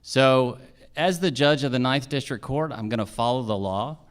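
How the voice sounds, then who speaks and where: An American man with a clear, mid-pitched voice makes his case as a candidate for judge. He is firm and speaks in repeated rhetorical phrases, like a stump speech. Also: moderate pace. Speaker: judicial candidate.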